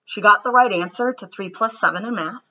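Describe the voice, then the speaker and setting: A woman reading a children's picture book aloud with expressive storytelling, then gently reflecting on it. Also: mid-range voice, brisk. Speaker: storybook reader. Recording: dull lo-fi recording.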